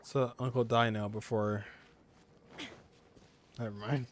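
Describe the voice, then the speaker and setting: A man's voice: medium pitch, level tone, slow pace, slight background noise. A man giving casual commentary as he plays through a game. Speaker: gaming streamer.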